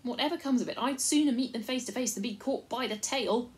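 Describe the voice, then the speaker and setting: A woman's voice: fairly high pitch, a little fast, slight background noise, pitched above the performer's own voice, natural voice. A woman voicing a small, valiant male mouse warrior in a storybook, formal, proud and combative. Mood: bold, defiant.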